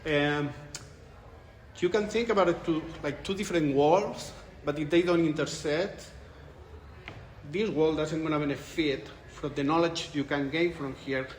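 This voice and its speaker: Spanish-accented male researcher in his 40s, slightly raised pitch, echoey room. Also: measured pace, a little hiss or hum. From Spain. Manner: thoughtful, restarts phrases, laughs lightly. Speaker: interviewed researcher. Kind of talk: conference interview. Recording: echoey room with light ambience.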